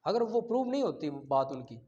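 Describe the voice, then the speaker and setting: A high-voiced man with a Pakistani accent teaches law in a didactic, explanatory way. He moves between statute wording and plain examples, posing questions as he goes. The recording is somewhat muffled and lo-fi. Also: big pitch swings, brisk, mellow. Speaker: law lecturer. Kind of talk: exam-prep lecture, explaining and reading out statute articles. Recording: dull, lo-fi lecture audio.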